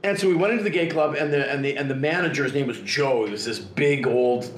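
A man's voice: mid-range voice, fast, echoey room, slight background noise. A man in his forties telling stories in casual, profane conversation, often acting out quoted voices, recorded in a living room. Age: 40s. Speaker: interview guest, artist. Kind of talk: casual storytelling. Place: living room with leather couch.

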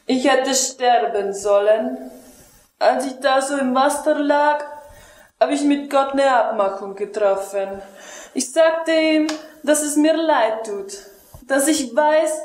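A woman's voice: sits high, slow pace, a little mellow. A young woman playing a devoted, guilt-ridden young male pilot in an amateur film parody, with a solemn, pleading delivery. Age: young adult. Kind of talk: melodramatic parody acting. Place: tiled public restroom, indoors. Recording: echoey tiled room.

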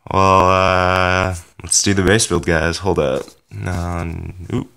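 A man's voice: sits low, flat delivery, slow pace, gravelly, sharp tone, clean tone. A young man hosting a casual game commentary, rambling and self-correcting with lots of fillers while fighting a cold. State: has a cold. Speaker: game channel host.

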